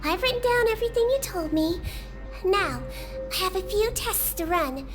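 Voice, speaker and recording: A woman voicing a small, girlish melusine head nurse in a video game, calm, polite and reassuring. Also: very high voice, normal speed, over music. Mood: calm, clinical.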